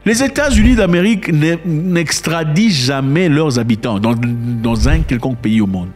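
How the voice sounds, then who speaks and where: A man giving casual spoken commentary, emphatic and sarcastic, who repeats words and swings between loud and quiet. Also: fairly high pitch, lively intonation, normal speed, soft background music. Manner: sarcastic asides.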